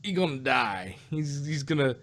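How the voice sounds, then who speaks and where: A man with a very animated, swinging pitch talks casually as a podcast co-host. He rambles through his points, doubling back and stammering over words as he goes. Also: normal speed. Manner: rambling, stammering, repeats himself. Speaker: podcast co-host reviewing audio drama. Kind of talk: casual chat.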